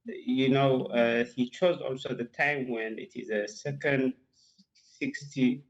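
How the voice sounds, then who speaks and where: A man giving political commentary as an interview guest, speaking haltingly with many hesitations and repeats, heard over a muffled remote link. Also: mid-range voice. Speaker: political analyst and academic guest.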